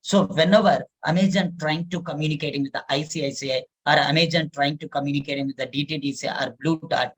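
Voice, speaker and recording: A man with an Indian English accent, a native Telugu speaker, giving a live online software lesson, repeating phrases and using many fillers. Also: on the high side, fairly even intonation, fast, slightly rough, poor audio. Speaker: software trainer.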